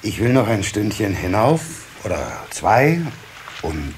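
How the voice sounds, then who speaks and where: Animated, kindly water sprite father. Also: on the low side, takes it slowly. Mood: fatherly, indulgent. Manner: fatherly, musing, hesitant repetitions. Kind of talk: children's radio play acting. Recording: faint hiss of old cassette.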